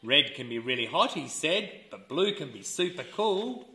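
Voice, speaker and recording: A man reading a children's storybook aloud as an educator, expressive and deliberate, pausing for listeners to fill in words. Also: a little high, slight background noise. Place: indoors, seated in a room with wooden floor. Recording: roomy indoor camera sound.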